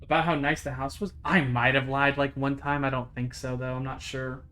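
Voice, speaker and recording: A young man streaming a visual novel, chatting casually with his viewers. He second-guesses himself out loud, restarts his sentences and swears casually. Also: mid-range voice, flat delivery, moderate pace. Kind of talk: casual live-stream commentary while playing a visual novel.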